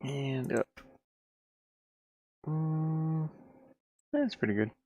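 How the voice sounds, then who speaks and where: Gravelly, tired man muttering as he works. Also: fairly high pitch, extremely slow, dark tone, no echo, lo-fi sound. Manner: muttering to himself, playful sing-song sounds. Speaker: indie game developer narrating own work. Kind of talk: casual rambling commentary while working.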